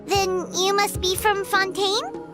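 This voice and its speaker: A woman voicing a small, chatty fairy girl in a video game, with a squeaky childlike voice and a blunt, excitable, cheeky manner. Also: takes it slowly, loud music behind the voice. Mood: curious, cheeky. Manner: exclamatory, cheeky. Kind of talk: animated video game voice acting.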